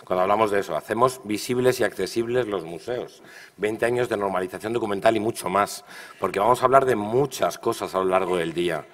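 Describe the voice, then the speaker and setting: A slightly hoarse man with a Castilian Spanish accent giving an opening speech at a conference, discursive and building points through repeated lists. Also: on the low side, fairly quick. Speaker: official opening the event. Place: large reverberant hall. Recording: long hall reverb.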